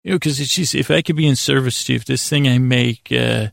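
Creaky-voiced man rambling a bedtime monologue. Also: medium pitch, no echo, clean studio sound. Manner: meandering, halting, repeats and rephrases himself, gently goofy. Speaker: podcast host.

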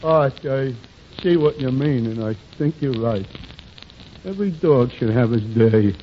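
An actor playing a slow-witted, good-natured, easily confused man in a 1940s radio comedy, with a dopey, hesitant delivery. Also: medium pitch, animated, close to an everyday voice. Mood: simple, hesitant. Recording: muffled, very noisy old radio recording.

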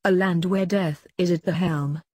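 Husky, mellow ghost woman played by a woman. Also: on the low side, steady tone, moderate pace, dry recording, studio quality, close to an everyday voice. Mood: wistful. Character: Vivian, gentle fading ghost woman, sympathetic friend. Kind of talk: story podcast dialogue.

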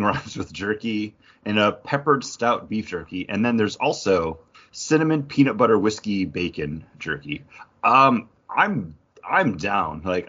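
A man chatting casually as a podcast host, with a playful, enthusiastic manner and a husky voice. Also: on the low side, a bit bright, lo-fi sound. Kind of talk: casual joking podcast chat.

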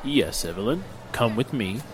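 An actor playing a solicitous, courteous businessman in a 1940s-style radio drama, speaking in a measured way. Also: on the low side, animated, natural voice. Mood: gentle, concerned. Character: solicitous gentleman business partner, courteous and gentle.

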